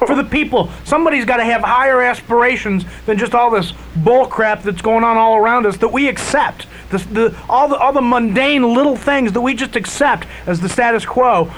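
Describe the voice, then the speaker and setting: A man's voice: very high-pitched, brisk, few pauses, fairly bright tone, slight background noise, poor audio. A man co-hosting a radio show with a nasal voice, joking playfully and mock-preaching. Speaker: radio co-host. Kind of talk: casual radio banter.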